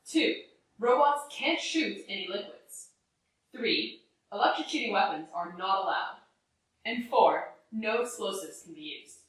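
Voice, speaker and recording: A woman in her thirties reading a children's book aloud in a workshop. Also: mid-range voice. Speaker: robot combat team member. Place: robot workshop, indoors. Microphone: distant camera. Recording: very echoey workshop, distant mic.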